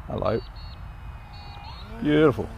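An older man flying a model plane in an open field outdoors, giving relaxed, enthusiastic commentary as he flies. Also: mid-range voice, slow, vocal fry, lo-fi sound, a little hiss or hum. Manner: relaxed, enthusiastic, thinking aloud while flying. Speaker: RC pilot reviewing a plane. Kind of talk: casual live flight commentary.

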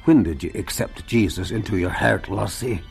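A man playing a kindly, jovial country preacher with a husky voice and folksy manner in a radio drama. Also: on the low side, moderate pace, soft background music.

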